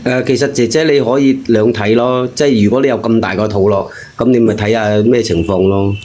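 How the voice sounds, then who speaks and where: A man giving casual advice on a call-in show, reasoning point by point in a measured way. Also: lowish voice, steady tone, dark tone.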